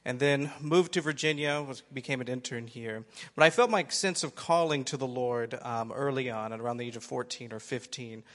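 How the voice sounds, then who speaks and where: A man's voice: medium pitch, a little fast, few pauses, a bit bright. A man from Ohio, a former senior pastor, introducing himself to a church in an earnest, grateful way with some restarts. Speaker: pastoral candidate. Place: large church sanctuary. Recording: long hall reverb.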